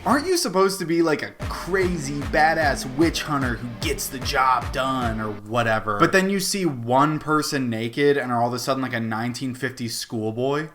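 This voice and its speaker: A man's voice: medium pitch, animated, normal speed, hardly any pauses, a bit bright, faint music behind. A young man hosting a comedic film recap, talking in a sarcastic, wry way. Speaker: video host reviewing a film.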